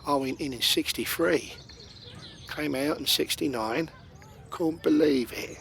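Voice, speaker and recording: A man's voice: fairly high pitch, unhurried, vocal fry, a bit bright, a little hiss or hum. An actor playing an older London ex-convict in a radio play, fatherly and streetwise as he gives a weary warning. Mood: grave, warning. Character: older male ex-convict villain, fatherly, gravely cautioning.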